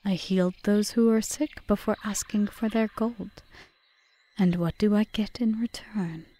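A woman playing a composed, aggrieved witch in an ASMR roleplay, speaking formally and measuredly in a breathy half-whisper. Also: mid-range voice, steady tone, unhurried, warm tone, lightly acted. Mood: mocking, bitter. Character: cool, bargaining yandere witch, aggrieved yet composed. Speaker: audio roleplay performer.

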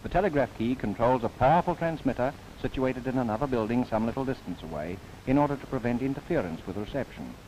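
A man narrating a scripted 1930s documentary film in a formal, measured, earnest manner. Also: medium pitch, lively intonation, darkish tone. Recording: noisy 1930s film soundtrack.

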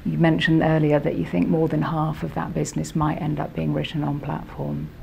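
A woman in her forties interviewing an executive indoors, asking brisk questions that sum up his points. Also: deep voice, flat delivery, vocal fry, a little mellow, a little hiss or hum. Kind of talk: business interview. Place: office, indoors.

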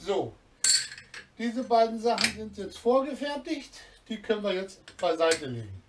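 A man's voice: high-pitched, sing-song, a little slow, far from the mic, background noise. A man in his fifties explains hands-on work step by step in a casual demonstration, recorded indoors in a small workshop on a camera a step or two away. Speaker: sailing channel host.

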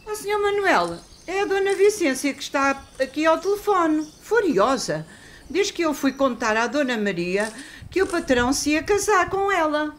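A woman's voice: sits high, very animated, moderate pace, slight background noise. A woman playing a naive, flustered young grocery assistant in a radio soap, protesting innocently in a European Portuguese accent. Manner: innocent, protesting, comically literal. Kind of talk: old-fashioned radio soap acting.

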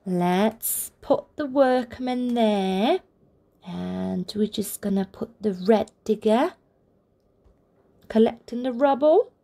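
A woman reading a picture book aloud for toddlers, with deliberate, expressive phrasing and encouraging asides. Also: a little high, vocal fry. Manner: deliberate, expressive phrasing aimed at small children. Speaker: children's storybook reader, channel host.

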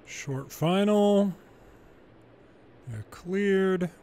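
A man's voice: sits high, very slow, dark tone. A man hosting gameplay as an air traffic controller, mixing clipped procedural calls with casual asides. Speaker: gaming channel host playing an air traffic controller. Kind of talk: controller phraseology and gameplay commentary.

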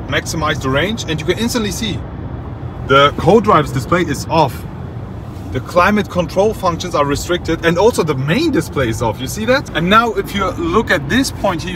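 A man presenting a car in a casual, enthusiastic, explanatory way, checking in with viewers as he talks. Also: on the high side. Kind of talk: casual car demonstration to camera. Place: inside a car. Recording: clear background noise, inside a car.

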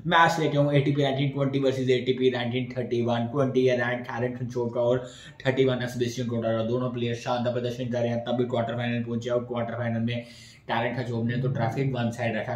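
A young man giving post-match tennis analysis to camera, repeating phrases for emphasis. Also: mid-range voice. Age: young adult. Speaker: sports YouTuber and host. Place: small room indoors. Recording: echoey bare room.

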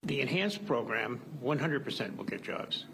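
A man's voice: mid-range voice, lively intonation, moderate pace, fairly bright tone, rough recording. A man in his seventies, a professor, explaining something in an on-location news interview. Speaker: aviation professor. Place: college classroom lab, indoors. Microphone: handheld interview mic. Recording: clear background room noise.

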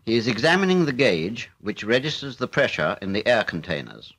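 A British man narrating a 1940s military instructional film in a formal, measured, matter-of-fact way. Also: fairly low pitch, big pitch swings, a bit bright, breathy edge.

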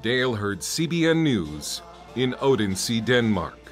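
A man narrating a scripted television news report in a measured reporter's delivery. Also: mid-range voice, big pitch swings, soft background music.

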